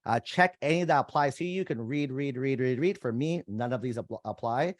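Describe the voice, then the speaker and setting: A man's voice: mid-range voice, brisk, dry recording. Casual man walking viewers through a form. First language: Vietnamese. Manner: casual, conversational, addresses viewers as "you guys". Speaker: college admissions coach. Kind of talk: screen-share walkthrough tutorial.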